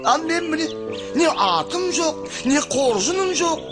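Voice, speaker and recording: A man playing an excitable, gullible village man in a cartoon, loud and exclamatory, with drawn-out complaints. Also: very high voice, full character voice. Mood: distressed, complaining. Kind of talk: cartoon character acting. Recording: music under the voice.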